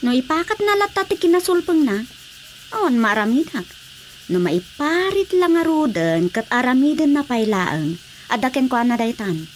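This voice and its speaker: Grumbly ilocano wife, fed up, high and super animated, radio comedy. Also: female voice, moderate pace. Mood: exasperated. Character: exasperated wife of a stubborn, ailing village councilor. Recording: faintly noisy radio drama track.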